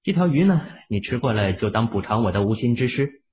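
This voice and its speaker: A man voicing a calm, unflustered young man in an audio drama, who speaks casually and matter-of-factly. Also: mid-range voice, a little fast, close to an everyday voice. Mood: offhand. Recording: tinny phone-like audio.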